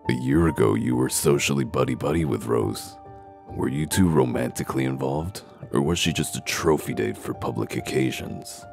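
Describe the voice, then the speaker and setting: Man playing a very deep, gravelly, blunt detective. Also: normal speed, music in the background. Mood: accusing, sardonic. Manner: blunt, accusatory interrogator. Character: gruff, foul-mouthed wolf detective in human guise. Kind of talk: comic dub voice acting.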